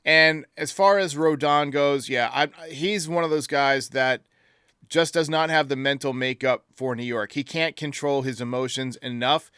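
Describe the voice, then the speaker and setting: A man's voice: mid-range voice, steady tone, normal speed, a bit bright, clear, resonant tone, no room sound, clean studio sound. A man hosting a sports podcast, giving opinionated, critical commentary in an emphatic manner. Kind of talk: sports commentary, answering listener voicemails.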